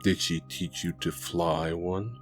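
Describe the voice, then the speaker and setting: Man voicing a deep, grave cat man. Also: fairly even intonation, slow pace, faint music behind. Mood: reserved, courteous. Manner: terse, grave, formal. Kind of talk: audio drama acting.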